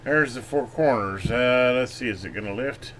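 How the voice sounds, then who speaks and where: A man's voice: mid-range voice, moderate pace, a bit bright, slight background noise. A man talking casually and off the cuff as he hosts a hands-on electronics review and repair, thinking aloud as he works. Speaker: electronics repair video host.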